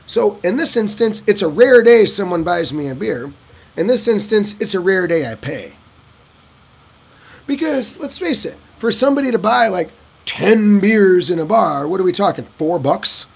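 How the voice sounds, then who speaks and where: A man casually answering viewer questions in a vlog, telling stories in an animated way and acting out other people's lines. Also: normal speed, vocal fry, slightly rough. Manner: animated storyteller who acts out locals' lines in a higher voice. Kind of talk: casual talking-head Q&A.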